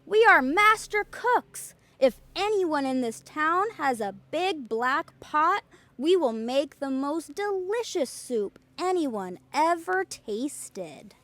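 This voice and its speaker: A woman's voice: high-pitched, lively intonation, slow, pushed and bright, dry recording, voice pushed up, exaggerated voice. A woman voicing a hungry, boastful male traveler in a children's storybook, calling out in a gleeful sing-song way. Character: two hungry male travelers, cheerful boastful master cooks.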